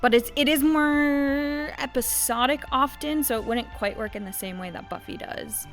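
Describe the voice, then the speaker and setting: A woman's voice: high voice, moderate pace, soft background music. A woman in a stage cast, talking bubbly and enthusiastic in an interview. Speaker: stage performer. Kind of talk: casual interview.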